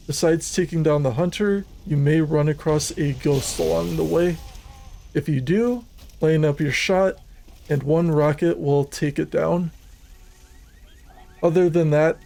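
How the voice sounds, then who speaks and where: A man narrating a scripted video game walkthrough in a measured, methodical, instructional manner. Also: on the high side, dark tone.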